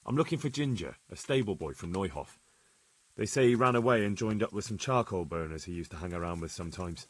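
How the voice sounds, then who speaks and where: An actor playing an earnest, determined young investigator in a medieval video game, direct and questioning in manner. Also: male voice, deep voice, lively intonation, quick pace, husky. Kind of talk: conversational video game cutscene acting.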